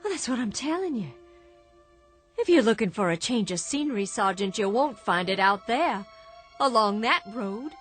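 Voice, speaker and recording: A woman's voice: a little high, lively intonation, moderate pace, fairly bright tone. An actress playing a sick, bitter widow in a radio drama, speaking emotionally and insistently and stammering at the start of her lines. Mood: anxious. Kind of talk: old-time radio drama acting. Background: faint underscore music.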